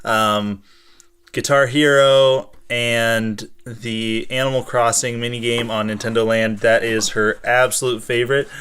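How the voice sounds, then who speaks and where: Man, American accent, smooth clean voice, mid pitch, casual chat, rambling explanations. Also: level tone, unhurried, a bit bright. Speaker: co-host of a gaming show and school teacher. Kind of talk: casual unscripted chat, answering viewer questions.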